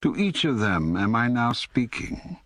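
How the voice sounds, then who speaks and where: An older man voicing a wry, patient, authoritative God in an audiobook dialogue, gravelly, deliberate and emphatic. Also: medium pitch, big pitch swings, fairly bright tone, no room sound. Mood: emphatic, impassioned.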